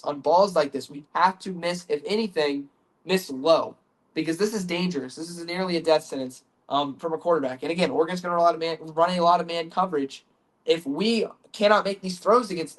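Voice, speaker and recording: A man's voice: a little high, rough recording. Congested man breaking down football. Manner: earnest, chatty, stumbles and repeats words. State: congested from the flu. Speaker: sports podcast host. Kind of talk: casual football film breakdown.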